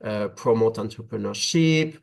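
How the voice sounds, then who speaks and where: A man from France with a French accent, giving a webinar talk on migration policy, speaking in measured phrases with frequent "uh" fillers. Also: lowish voice, vocal fry.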